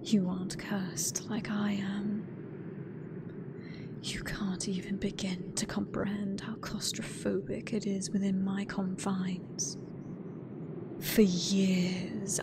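A British woman voicing a hateful sentient AI god in a breathy, deliberate whisper for an ASMR horror roleplay. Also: mid-range voice. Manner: slow, deliberate, menacing, theatrical. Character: hateful sentient female AI god gloating over last human.

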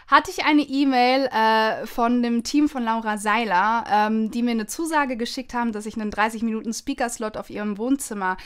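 A woman's voice: fairly high pitch, normal speed, hardly any pauses, fairly bright tone, smooth. A woman hosting a podcast in a casual, chatty and gushing manner. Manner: effusive, gushing. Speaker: podcast host.

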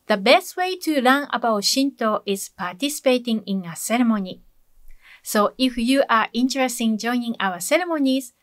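Higher-pitched middle-aged woman, Japanese accent. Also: a bit bright, no echo. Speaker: Shinto head priest, video host. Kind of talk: prepared explanatory talk to camera. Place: small indoor curtained backdrop, close dry sound.

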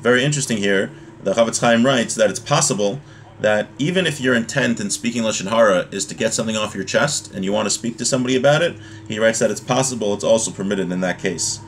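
Male religious teacher, thirties, slightly low voice, informal and halting explanatory delivery, home recording with faint noise. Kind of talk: informal Torah lesson, reading a text and explaining it. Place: small home room, indoors.

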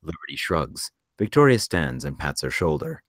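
A man's voice: very deep voice, sing-song, moderate pace, fairly bright tone, dry recording, studio quality. A man reading screenplay stage directions as scripted narration for a table read.

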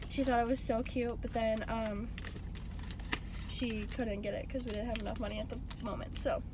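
A woman's voice: fairly high pitch, flat delivery, moderate pace. A young woman vlogging from inside a parked car, sick and losing her voice, rambling casually with many fillers into a phone camera. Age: young adult. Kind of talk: casual vlog chat. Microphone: phone camera on the dashboard. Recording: phone in a car, clear background noise.

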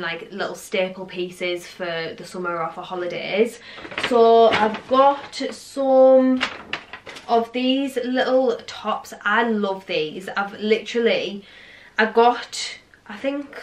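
A young woman in her twenties, chatting casually in a clothing haul vlog, rambling with lots of fillers. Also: medium pitch. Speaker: vlogger. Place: living room, seated on sofa.

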